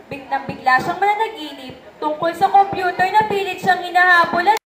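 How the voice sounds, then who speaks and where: Young female narrator, high voice, Filipino accent, scripted storytelling, echoey room, some background noise. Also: a little fast, fairly bright tone. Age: young adult.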